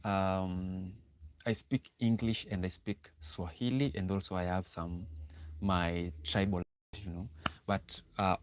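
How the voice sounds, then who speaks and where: A man's voice: low voice, a little mellow, no echo. A young Kenyan man hosting a casual livestream, often repeating words and restarting sentences as he talks to viewers. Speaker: livestream host.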